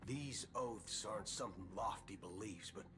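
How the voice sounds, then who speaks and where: A man's voice: on the low side, a little slow, close to an everyday voice. A man playing a swaggering, folksy cowboy gunslinger from space in a video game, with a theatrical American Western drawl. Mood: earnest. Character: swaggering male cowboy galaxy ranger gunslinger, folksy and amiable. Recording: game music behind the voice.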